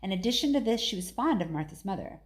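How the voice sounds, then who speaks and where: Female storybook narrator, mid-pitched, expressive read-aloud delivery of a children's novel. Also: fast talker. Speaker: story reader.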